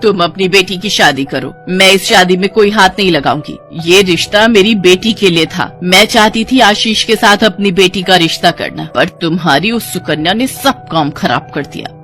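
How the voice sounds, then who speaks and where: A woman's voice: on the low side, moderate pace, few pauses, a bit bright, lightly acted. A woman voicing a jealous, harsh stepmother in a cartoon, speaking with scorn. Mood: scornful. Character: jealous scheming stepmother, middle-aged woman. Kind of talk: Hindi cartoon voice acting. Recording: music playing behind.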